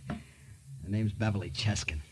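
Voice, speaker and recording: An American actor playing a nervous, evasive man in his thirties in a 1950s radio drama, halting and defensive. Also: lowish voice, steady tone, no room sound, close to an everyday voice. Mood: shaken. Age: 30s.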